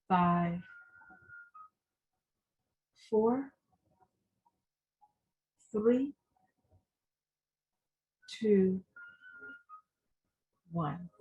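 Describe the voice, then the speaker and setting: A woman coach leading a guided visualization meditation, giving soothing, gentle instructions with long pauses. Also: mid-range voice, phone-quality sound.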